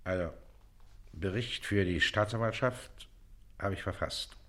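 An actor playing a self-important, impatient Prussian district official in a period stage comedy, condescending and sarcastic. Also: male voice, low-pitched, a little slow, fairly bright tone. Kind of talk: theatrical period comedy acting in German.

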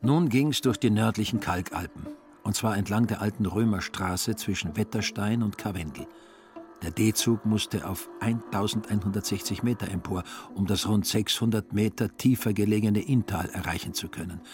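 A man narrating a literary radio play in a measured, ironic storytelling manner. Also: fairly low pitch, darkish tone, faint music behind.

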